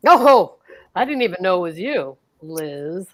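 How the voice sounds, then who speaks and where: A woman's voice: on the low side, very animated, unhurried, voice pushed down. A woman reading the part of a teasing, wisecracking husband in an amateur old-time radio play. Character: husband George, bantering middle-aged man.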